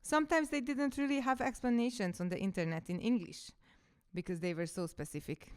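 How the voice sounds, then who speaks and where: A woman's voice: a little high, fairly even intonation, fairly quick, smooth. A woman in her thirties, a coach and podcaster whose first language is Hungarian, telling her story in a reflective, casual monologue.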